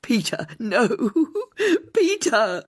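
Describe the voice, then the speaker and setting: A woman's voice: fairly high pitch, big pitch swings, drawn-out words, breathy edge, dry recording, clean studio sound, voice pushed up, exaggerated voice. A woman voicing an emotional, distraught fiancée in a mystery audiobook, with urgent delivery. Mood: anguished.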